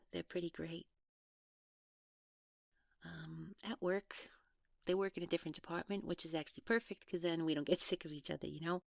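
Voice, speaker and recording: A woman playing a guarded, awkward young woman in an audio drama, speaking naturally in a halting, hesitant way. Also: lowish voice, fairly even intonation, vocal fry, no echo, studio quality. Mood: bashful, fond. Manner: hesitant, halting, trailing off, nervous laughs. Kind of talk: naturalistic one-sided audio roleplay acting.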